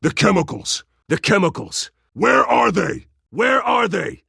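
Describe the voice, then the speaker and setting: An actor playing a grim masked vigilante in a video game, terse and intimidating with a gravelly, husky voice. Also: male voice, high-pitched, very animated, measured pace, pushed and bright, no echo, studio quality, exaggerated voice. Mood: demanding. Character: grim masked male vigilante, menacing and controlled.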